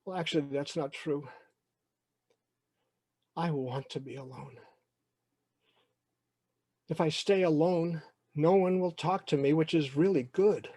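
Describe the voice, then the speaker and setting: A man's voice: on the high side, fairly even intonation, warm tone, dry recording, clean studio sound. Man acting anxious, lonely twelve-year-old boy. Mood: anxious, wistful. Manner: halting, repetitive inner monologue. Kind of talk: first-person present-tense storytelling.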